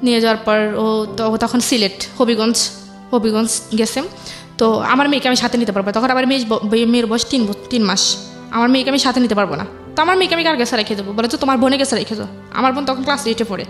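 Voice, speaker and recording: A young woman with a high voice and a Bangladeshi accent tells her personal story in an interview. She talks quickly and colloquially, repeating phrases and quoting her family. Faint music runs underneath. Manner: colloquial dialect forms, repeats herself, quotes family members. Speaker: interview guest. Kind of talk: personal life story told conversationally.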